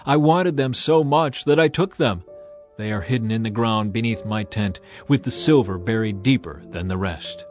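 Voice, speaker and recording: A man's voice: fairly low pitch, normal speed, soft background music, close to an everyday voice. A man playing a guilty Israelite who earnestly confesses his sin in a dramatized Bible reading. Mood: guilty, pleading.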